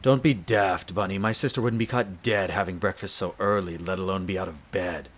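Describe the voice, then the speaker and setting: A man's voice: low voice, fairly even intonation, fairly quick. Man playing a haughty, irritable vampire lord. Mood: curt, condescending. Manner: curt, condescending, formal. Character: haughty, irritable aristocratic vampire master, male. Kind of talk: scripted audio roleplay acting.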